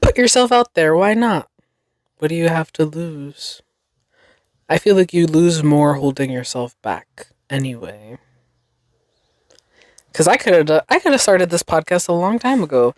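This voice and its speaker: Young woman, solo podcast host, slow and meandering delivery, dry close mic. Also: sits low, animated, a little mellow, no room sound. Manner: slow, reflective, rambling with fillers. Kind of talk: casual solo podcast monologue.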